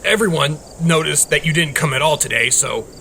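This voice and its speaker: A man playing a gruff, awkward young half-dog demon who hides his care, speaking haltingly in a fan audio drama. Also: on the high side, sharp tone, a little hiss or hum. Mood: gruff, awkward concern. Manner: halting, reluctant delivery.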